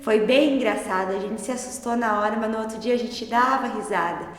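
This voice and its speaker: A woman's voice: fairly high pitch, brisk. Woman, thirties, southern Brazilian accent, casual interview storytelling, reverberant hall sound. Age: 30s. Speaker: adult student interviewee. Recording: echoey hall, music underneath.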